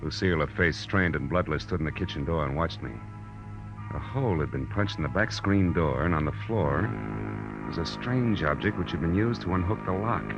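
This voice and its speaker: An actor playing a hard-boiled, sardonic American private detective in 1940s radio crime drama style, with terse, tough talk. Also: male voice, sits low, brisk, natural voice. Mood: tense narration. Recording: muffled old radio recording, loud music.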